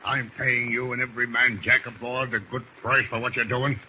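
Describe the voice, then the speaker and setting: A man's voice: medium pitch, level tone, moderate pace, lightly acted. An actor playing a hard, menacing seaman villain with salty, commanding talk in old radio drama style. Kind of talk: 1940s radio drama acting. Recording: dull old radio recording, faint noise.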